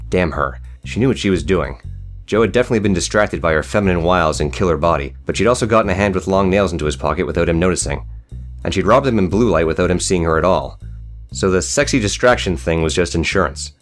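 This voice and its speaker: A man narrates a prose story for an audiobook in a low-pitched voice. He moves through the scripted lines at a quick clip, with faint guitar music behind him.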